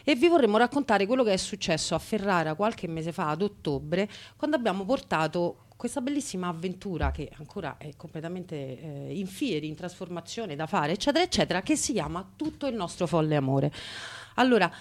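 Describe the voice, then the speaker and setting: A woman's voice: on the low side, lively intonation, a little fast. Playful, chatty woman co-hosting radio. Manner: playful, repeats phrases. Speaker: radio co-host and theatre activist. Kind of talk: casual chatty live introduction.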